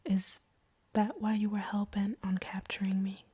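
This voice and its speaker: Breathy, whispering vampire woman acted by a woman. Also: mid-range voice, little pitch movement, a little slow, mellow. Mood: sly, teasing. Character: conflicted female vampire confessing feelings to her hunter. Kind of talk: intimate scripted audio roleplay.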